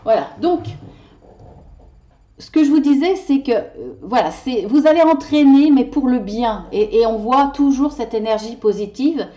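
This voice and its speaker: Woman card reader, slightly high and mellow, passionate, stammers as ideas outrun her; dull lo-fi recording. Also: unhurried. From Italian heritage. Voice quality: passionate, stumbles over words. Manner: animated, talks with her hands. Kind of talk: weekly card reading video.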